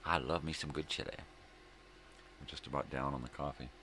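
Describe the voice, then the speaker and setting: A middle-aged man with a gravelly voice, rambling casually and folksily as he hosts a morning vlog, often repeating himself. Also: steady tone, normal speed, pushed and bright. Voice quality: gravelly, very deep. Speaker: host of a daily vlog. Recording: clear background room noise.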